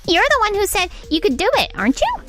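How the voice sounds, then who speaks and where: Spoiled rich daughter, high and animated, insistent tone; a woman reads her. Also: quick pace, faint music behind, exaggerated voice. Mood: demanding. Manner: insistent, demanding. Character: pushy spoiled young woman, CEO's daughter. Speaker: voice actor in a manga dub. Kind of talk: manga dub acting.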